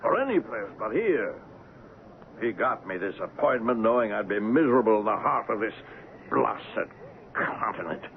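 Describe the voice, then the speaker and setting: An actor playing a bitter, high-strung European ex-army ivory trader in a radio drama, theatrical and brooding. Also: male voice, sing-song, normal speed, gravelly. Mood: bitter, needling. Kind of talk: 1970s radio drama acting. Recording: muffled, noisy 1970s AM radio recording.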